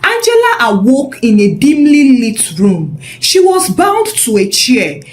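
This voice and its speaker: A woman narrating a scripted story in a measured, expressive, dramatic way. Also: a little high.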